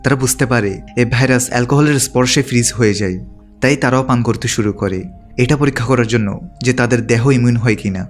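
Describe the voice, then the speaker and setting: A man's voice: mid-range voice, quick pace, soft background music. A man reading a scripted story recap as a narrator.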